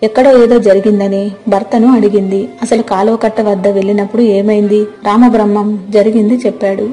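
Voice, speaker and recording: A woman's voice: medium pitch, fairly even intonation, moderate pace, warm tone. A woman narrating a scripted moral story as a storyteller. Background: faint music.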